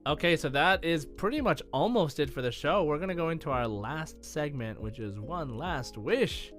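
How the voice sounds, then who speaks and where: A man's voice: fairly high pitch, expressive, moderate pace, a bit bright, soft background music. A man hosting a gaming podcast in a casual, chatty style. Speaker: podcast co-host.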